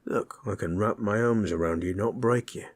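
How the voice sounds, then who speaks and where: A man voicing a gentle, proud orc warrior in an intimate audio roleplay, telling tales in a folksy, rough-hewn, husky way. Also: lowish voice, normal speed. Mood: tender, flirtatious. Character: gentle, proud male orc warrior storyteller, affectionate, teasing. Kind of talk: intimate comfort roleplay storytelling.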